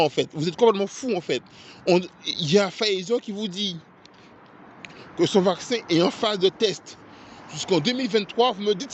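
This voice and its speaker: A man's voice: high voice, lo-fi sound, slight background noise. A man with a foreign accent in French, ranting indignantly to camera in a vlog, stammering and repeating himself as he gets heated. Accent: non-native French accent. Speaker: vlogger. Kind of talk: angry political rant to camera.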